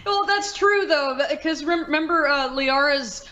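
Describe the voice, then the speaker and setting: A woman's voice: high-pitched, moderate pace, pushed and bright. A woman talking casually and informally as a podcast host, with opinions and some swearing. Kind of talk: casual opinionated chat about gaming.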